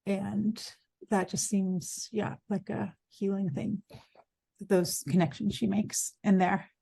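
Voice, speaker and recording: Musing woman poet, mellow gravelly voice. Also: medium pitch, level tone, no echo. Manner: reflective, musing, quick to laugh. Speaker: guest poet. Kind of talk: casual conversation.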